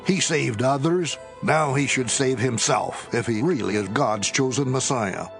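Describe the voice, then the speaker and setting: A sneering, gravelly temple leader. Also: male voice, medium pitch, measured pace, over music. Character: mocking male temple leader.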